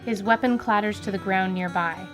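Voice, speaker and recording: A woman giving vivid, deliberate scripted narration for a fantasy radio drama. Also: mid-range voice. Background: music.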